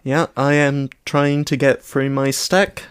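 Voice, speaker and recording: A man co-hosting a podcast in casual conversation, with a measured, dry and hesitant delivery. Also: a little high, fairly even intonation, clear, resonant tone, no echo. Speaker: podcast co-host. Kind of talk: casual chat.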